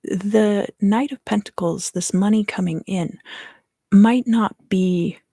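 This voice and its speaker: A woman giving an ASMR tarot reading, soft-spoken and often whispering, explaining things unhurriedly in short phrases, close to the microphone. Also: medium pitch, slow pace, gravelly, no echo. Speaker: tarot reader and ASMR host.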